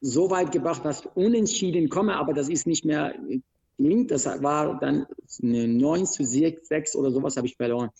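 A man from Iran with an Iranian accent, a wrestler recounting his career in an interview, speaking in halting, broken phrases with many restarts. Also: fairly high pitch, gravelly, darkish tone, breathy edge, highs cut off. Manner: broken grammar, frequent restarts and hesitations. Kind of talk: interview, telling his life story.

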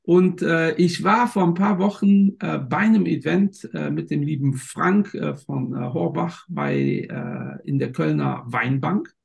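A man's voice: a little high, a little slow, creaky, few pauses, highs cut off. A male podcast host who chats, jokes and hesitates a lot while introducing his guest. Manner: chatty, joking, many hesitations. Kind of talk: casual interview talk.

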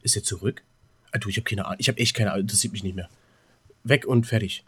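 A man's voice: lowish voice, fairly even intonation, fast, fairly bright tone, breathy edge. A man co-hosting a podcast in casual, colloquial chat, repeating words as he thinks aloud. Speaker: podcast co-host.

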